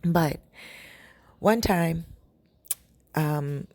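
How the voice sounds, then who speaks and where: A woman with a raspy, dry-throated voice, chatting to her viewers as she tells a long story. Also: deep voice, extremely slow, darkish tone, dry recording. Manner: chatty, addresses viewers directly. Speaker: storytelling vlogger. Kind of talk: casual storytelling. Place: home in a Caribbean town.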